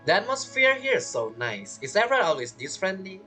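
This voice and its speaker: A woman's voice: medium pitch, sing-song, a little fast, bright, forceful tone, faint music behind. A woman streaming a game with casual, chatty and playful commentary. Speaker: gameplay streamer.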